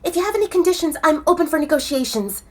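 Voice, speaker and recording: A woman's voice: very high voice, animated, fast talker, a little mellow. A woman voicing a blunt, confident young she-wolf fashion model in an audio drama, speaking directly and persuasively. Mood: hurried.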